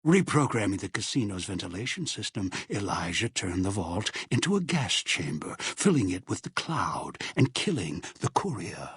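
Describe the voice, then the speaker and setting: A man's voice: on the low side, sing-song, moderate pace, few pauses, fairly bright tone, breathy edge, dry recording, clean studio sound, exaggerated voice. A man narrating a grim video game epilogue in a solemn, scripted storytelling style.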